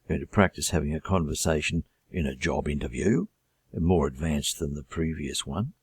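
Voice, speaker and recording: Deep husky Australian man teaching. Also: expressive, no room sound, clean studio sound. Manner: measured, deliberate delivery with listed items. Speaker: English teacher. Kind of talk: course introduction lecture.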